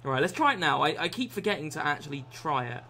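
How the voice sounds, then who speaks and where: A young man in his twenties with a croaky voice, chatting and swearing through a live football game stream from a small room. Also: a little high, big pitch swings, fast talker. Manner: swears often, shouts and laughs at the game. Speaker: gaming streamer. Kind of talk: live gameplay chat.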